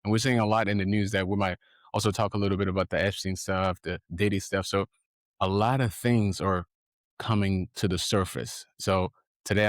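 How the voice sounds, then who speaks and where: A man hosting a podcast interview, speaking in an earnest, halting way, with many restarts. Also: low voice, no echo, clean studio sound. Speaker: podcast host.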